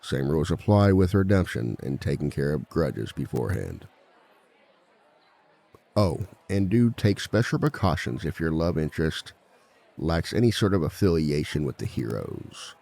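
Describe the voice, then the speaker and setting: A man playing a reformed villain who lectures at a seminar on romance in a measured, articulate, formal and dryly self-assured way. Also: extremely low pitch, sing-song, gravelly, darkish tone, heavily acted. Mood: composed, instructive. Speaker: seminar speaker and relationship expert. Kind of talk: scripted comedic lecture roleplay.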